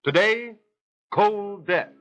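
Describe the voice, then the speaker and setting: High, swooping 1930s male radio announcer. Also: lively intonation, slow, a bit bright, faint music behind. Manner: emphatic, formal delivery. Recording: dull old 1930s radio recording.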